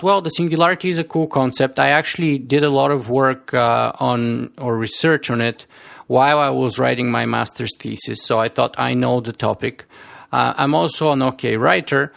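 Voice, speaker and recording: Bulgarian-accented man with a gravelly voice. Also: fairly high pitch, a bit bright. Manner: earnest, repeats words when restarting. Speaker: podcast guest. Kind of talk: reflective interview storytelling.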